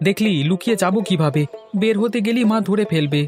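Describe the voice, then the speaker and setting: A woman voicing a timid, obedient village child in an animated folk tale, speaking in a hesitant, plain way. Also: medium pitch, a little fast, soft background music, lightly acted. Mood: wary.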